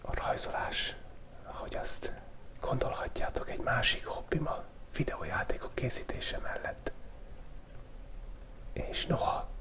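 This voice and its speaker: Man whispering playfully up close. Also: fairly high pitch. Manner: relaxed, playful whispering with loose asides; unhurried, thinking aloud while drawing. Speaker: channel host. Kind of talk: whispered ASMR drawing chat. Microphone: close studio microphone picking up pen tablet.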